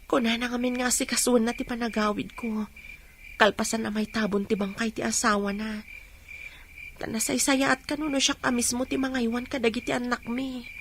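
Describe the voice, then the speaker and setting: A woman acting a gentle working mother in a Filipino radio drama, with a Filipino accent. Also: fairly high pitch, steady tone, moderate pace, natural voice. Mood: hesitant. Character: gentle working mother abroad named Korason, grieving sympathetically. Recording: faint background noise.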